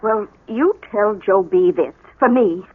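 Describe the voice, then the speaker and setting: An American actress playing a fiery, protective small-town schoolteacher wife in a radio drama, emphatic and scolding. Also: female voice, mid-range voice, slow pace, slightly rough. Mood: coolly threatening. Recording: thin lo-fi old radio broadcast.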